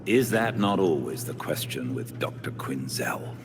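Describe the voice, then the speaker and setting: A man's voice: a bass voice, expressive. A man playing a formal, dryly witty older butler and mentor in a video game cutscene. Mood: dry, attentive. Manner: formal, measured, dry. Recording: music behind.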